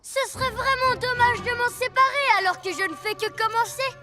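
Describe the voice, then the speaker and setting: A woman's voice: very high voice, brisk. A woman voicing a boisterous, boastful child character in a French cartoon dub, speaking theatrically. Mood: gleeful scheming. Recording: music behind the voice.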